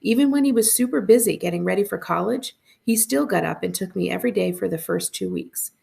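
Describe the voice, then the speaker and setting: A woman reading a first-person story aloud as a librarian would, with expressive narration. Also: medium pitch, fairly quick, creaky.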